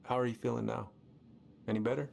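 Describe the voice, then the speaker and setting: A man playing a concerned middle-aged uncle in a naturalistic video game drama, gravelly, earnest and halting. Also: medium pitch, steady tone, a little mellow, a little hiss or hum. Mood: gentle, reflective. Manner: earnest, halting, repeats words. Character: concerned, gentle middle-aged uncle consoling his niece.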